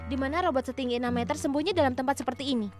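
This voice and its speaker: A woman voicing a brisk, inquisitive young female sleuth in a cartoon dub. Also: high voice, loud music behind the voice, lightly acted. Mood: brisk, curious.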